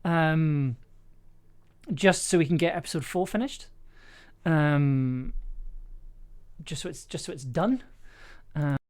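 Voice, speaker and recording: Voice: mid-range voice, slow, pauses often, darkish tone. A streamer chatting casually while editing audio, thinking aloud in a self-deprecating way. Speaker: livestream host, audio drama producer. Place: indoors at a computer, streaming.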